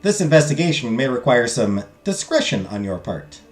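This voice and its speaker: A man with a loud, carrying voice of ordinary pitch reads a game's text aloud and banters with his stream chat. His voice echoes around a roomy space, and soft game music plays in the background. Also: very animated, normal speed. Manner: loud, talks loudly. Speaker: streamer playing a visual novel. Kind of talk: reading game text aloud and chatting with viewers.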